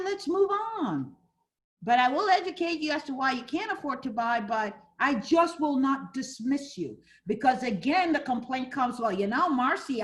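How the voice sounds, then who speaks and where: A woman from Ghana teaching a fair housing class for realtors, role-playing buyers and agents as she talks. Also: high-pitched. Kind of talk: interactive training class.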